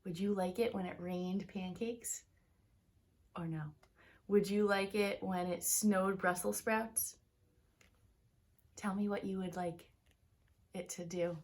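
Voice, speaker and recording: A woman reading a picture book aloud for children in an expressive storytelling manner, with short explanatory asides to young listeners. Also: mid-range voice, slow pace, long pauses.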